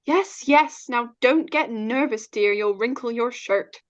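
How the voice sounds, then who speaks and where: An actress playing a calm, reassuring middle-aged wife and mother in an old-time radio drama. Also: a little high, a little slow, natural voice. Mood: soothing, brisk. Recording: dull, muffled online recording.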